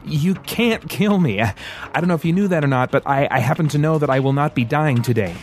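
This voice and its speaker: A man's voice: mid-range voice, lively intonation, fairly quick, soft background music, lightly acted. A man voicing a nervous, wisecracking young fugitive hero in an audiobook, stammering and bargaining in a casual way. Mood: nervous bluffing. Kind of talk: audiobook character voice.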